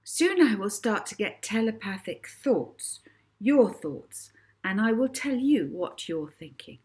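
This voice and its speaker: Storybook girl hypnotist played by a woman, young, self-assured, theatrical, giving orders, unhurried delivery. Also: mid-range voice, animated, a little mellow. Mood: showy, theatrical. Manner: theatrical, commanding. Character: confident young girl stage hypnotist, commanding her audience.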